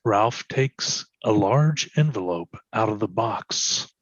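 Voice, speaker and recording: Man, scripted screenplay narration, lower-pitched voice, dry and slightly muffled lo-fi recording. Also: expressive, slow pace, dry recording. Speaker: stage directions reader.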